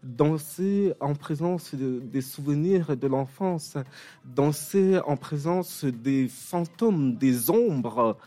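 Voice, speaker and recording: A man in his late thirties from Cameroon, a novelist answering interview questions in a relaxed, hesitant way with many fillers and restarts. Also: on the high side, slow pace. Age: late 30s. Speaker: author guest.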